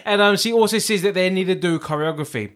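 A man whose first language is Samoan, co-hosting a podcast in an animated, jokey way. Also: sits high, quick pace. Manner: animated, jokey, breaks into song and playful voices. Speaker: podcast co-host. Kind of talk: casual chat.

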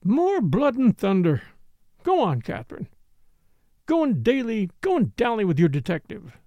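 A man voicing a languid, blunt young society woman who speaks in a slow drawl, in an audiobook. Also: high-pitched, wildly swooping pitch, mellow, dry recording, voice pushed up, heavily acted. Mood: wry, teasing.

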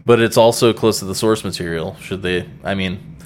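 Low-voiced guy rambling on a gaming podcast, lots of likes and ums, swears a bunch. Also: normal speed, a little hiss or hum. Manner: rambling, swears casually, many fillers. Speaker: podcast co-host. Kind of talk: casual chat.

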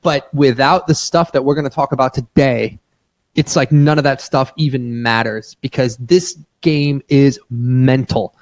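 A man hosting a business podcast, talking in an energetic, emphatic way and often repeating words for stress. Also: mid-range voice, dry recording. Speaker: podcast host.

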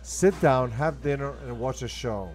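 A man's voice: medium pitch, unhurried, darkish tone, faint music behind. A man with an Argentine accent, a native Spanish speaker, talks in a conversational interview. He sits in a medium register and sounds emphatic and enthusiastic, like a restaurant owner talking up the trade.

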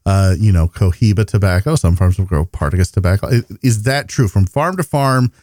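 A man's voice: low-pitched, brisk, darkish tone, no room sound, studio quality. A man hosting a podcast in a casual conversational style, asking questions and often rephrasing them as he goes. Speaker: podcast host.

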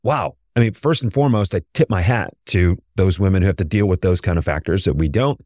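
A middle-aged man co-hosting a podcast, his voice raspy today, reflecting in casual chat and searching for words. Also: low voice, brisk, a little mellow, no echo, clean studio sound. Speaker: podcast co-host.

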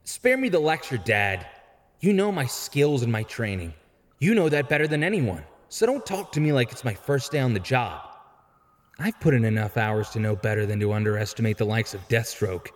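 A man's voice: mid-range voice, big pitch swings, normal speed, natural voice. A man playing a wisecracking young vigilante and former sidekick in an audio drama, full of breezy, sarcastic banter. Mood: irritated. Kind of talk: fan audio drama acting. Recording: long hall-like reverb.

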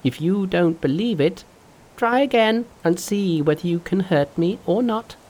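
A narrator voicing a boastful, fearless male monkey king in a storybook reading, loud and mocking. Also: medium pitch, animated, measured pace, pitched above the performer's own voice.

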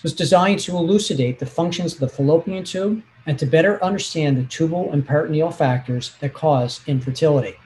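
Male interview host, slightly high voice, formal and measured delivery. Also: darkish tone, a little hiss or hum, rough recording. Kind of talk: scripted introduction and formal interview.

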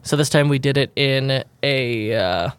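A man's voice: mid-range voice, unhurried, smooth, no echo. A man co-hosting a podcast in casual chat, rambling and laughing often as he corrects himself. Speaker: podcast co-host.

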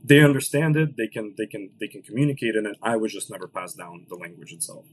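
A man in his late twenties from Los Angeles, a founder talking about his business as a podcast guest, with many restarts. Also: lowish voice. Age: late 20s. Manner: fast business talk with many restarts and "right?". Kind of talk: podcast interview.

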